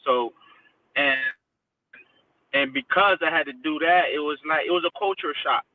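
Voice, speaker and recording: A man's voice: fairly high pitch, moderate pace, no echo. A man from Haiti who moved to America as a child, chatting informally as an interview guest, using slang and repeating phrases for emphasis. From Haiti, then Connecticut from age six. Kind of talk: casual chat, personal recollection. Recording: tinny phone-like line, distant from mic.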